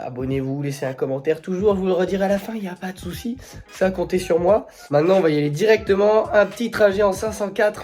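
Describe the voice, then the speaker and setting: Bright, higher-voiced man vlogging with a slight cold. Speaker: car vlog host. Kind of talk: casual vlog commentary on cars.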